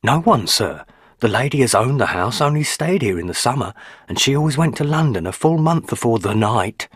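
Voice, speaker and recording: A man's voice: medium pitch, big pitch swings, brisk, slightly rough, no echo, clean studio sound, pitched above the performer's own voice. A man voicing an elderly, stubborn, superstitious rustic housekeeper woman who speaks in country dialect. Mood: ominous. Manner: rustic dialect grammar, hushed ominous.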